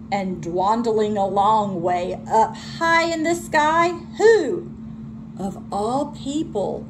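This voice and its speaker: A woman teacher reading a children's storybook aloud with expressive delivery. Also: a little high, noisy background.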